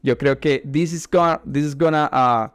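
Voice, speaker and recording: A man's voice: a little high, moderate pace, smooth, no room sound, faint music behind. A man hosting a casual bilingual interview, hesitant and often repeating his words. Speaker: interview host.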